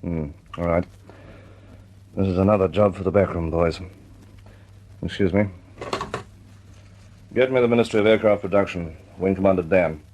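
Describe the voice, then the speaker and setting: An actor playing an authoritative senior air force officer in an old radio drama, with a dry humor. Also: male voice, deep voice, moderate pace, long pauses, muffled recording, a little hiss or hum, lightly acted. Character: senior RAF air vice marshal, older man. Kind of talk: 1940s radio acting.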